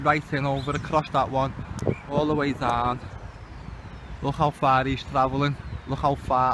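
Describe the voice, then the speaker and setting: Very loud outdoor noise surrounds a man with a Liverpool accent, recording on a handheld device. His voice sits a little high for a man. He comments casually but indignantly, peppering his exclamations with swearing. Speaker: video channel host. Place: outdoors under open sky. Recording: very noisy outdoor amateur recording.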